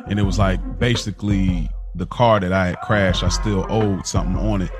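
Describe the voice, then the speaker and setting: A man's voice: low voice, steady tone, normal speed, over music. An American man, a podcast co-host, tells personal stories in slangy, casual conversation. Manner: slangy, conversational, often addressing his co-host as bro.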